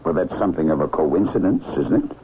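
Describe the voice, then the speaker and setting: An actor playing an easygoing, wry doctor in a radio mystery, husky and ruminative, with folksy, teasing doubt. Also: male voice, extremely low pitch, expressive, fast, exaggerated voice. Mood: musing, wry. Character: musing, wry, easygoing male local doctor helping an investigation. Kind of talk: 1970s radio mystery acting. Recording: tinny, phone-like vintage radio sound.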